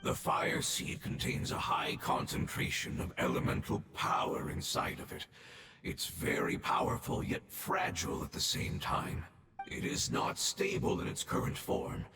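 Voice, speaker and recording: An actor playing a dying ancient male creature who speaks in a hushed, gravelly, solemn way in a game cutscene. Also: noisy background, close to an everyday voice. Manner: solemn, measured farewell. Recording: echoey voice over game music.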